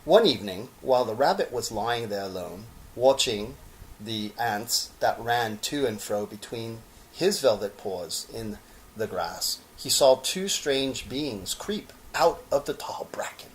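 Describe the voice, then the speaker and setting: A man reading a children's story aloud. He takes his time, breaking phrases with theatrical pauses. His intonation swings widely around a medium pitch. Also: lively intonation, a little hiss or hum, rough recording. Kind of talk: expressive children's story narration.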